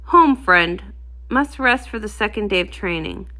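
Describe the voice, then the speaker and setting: Folksy, humble male pony warrior for a storybook, played by a woman, animated and earnest. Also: mid-range voice, measured pace. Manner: folksy old-fashioned speech.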